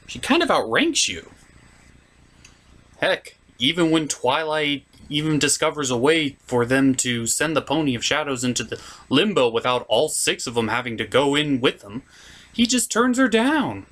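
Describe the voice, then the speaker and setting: A man delivers comedic review commentary as a host. His pitch moves around a lot, and he comes across as sarcastic and exasperated, talking like someone riffing on a cartoon. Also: sing-song, moderate pace, a bit bright.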